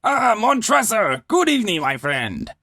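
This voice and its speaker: Man putting on a high, blustering tipsy wine snob. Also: sing-song, measured pace, sharp tone, slightly rough, dry recording, studio quality, full character voice. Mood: tipsy greeting. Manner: exclamatory, blustering, dismissive with laughs. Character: boastful tipsy wine connoisseur, hearty and scornful man. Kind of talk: dramatic storybook reading.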